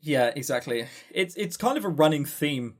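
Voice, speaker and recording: A man chats casually as a podcast co-host giving reviews. His pitch swings in a lively way, and he is dry and critical, often stumbling over the first word and starting it again. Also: moderate pace.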